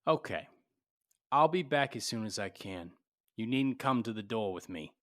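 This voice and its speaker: An actor playing a tough, wry, cynical private detective in a 1940s-style radio drama, with slangy, clipped delivery. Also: male voice, fairly low pitch, animated, normal speed, close to an everyday voice. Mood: wry, brisk.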